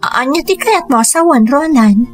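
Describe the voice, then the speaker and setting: A woman's voice: high-pitched, animated, moderate pace. Single mother, earnest, emotional, radio drama, faint music. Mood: tender. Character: devoted single mother working abroad. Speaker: lead actress.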